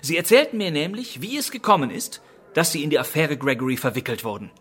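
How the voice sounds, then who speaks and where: A man playing a composed, polite English gentleman detective in a radio crime drama, making deliberate, theatrical revelations. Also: fairly high pitch, expressive, husky. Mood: measured, revelatory.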